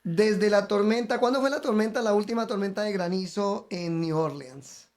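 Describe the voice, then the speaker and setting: High-voiced, emphatic male podcast host. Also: normal speed. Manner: emphatic, coaching, keeps repeating the guest's name. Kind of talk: energetic interview hosting.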